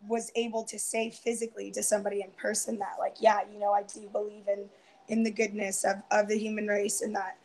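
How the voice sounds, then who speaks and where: A woman in her twenties, a guest on a video call, talking softly and hesitantly with many ums, her voice tiring and going hoarse. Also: mid-range voice, flat delivery, fairly quick, low-quality recording. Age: 20s. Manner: soft-spoken, hesitant, many ums and restarts. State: losing her voice. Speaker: guest on a live round-table stream. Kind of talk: casual personal sharing. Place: indoors, home room. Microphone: earphone headset on a video call.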